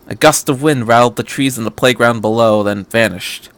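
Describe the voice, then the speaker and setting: A man's voice: medium pitch, normal speed, a bit bright, dry recording. A man reading a story aloud as narrator, in a scripted storytelling style. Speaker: fan fiction reader.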